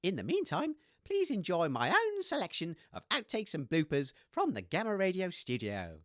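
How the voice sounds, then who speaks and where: A woman's voice: fairly low pitch, wildly swooping pitch, moderate pace, fairly bright tone, no echo, studio quality. A woman playing a chirpy, oblivious radio DJ in a comedy audio drama, with a hesitant, theatrical delivery. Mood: jolly presenting. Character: excitable, chirpy, oblivious radio DJ named Dave.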